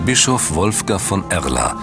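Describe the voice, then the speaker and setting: Male documentary narrator, very deep register, scripted delivery over prominent music. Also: sing-song, unhurried.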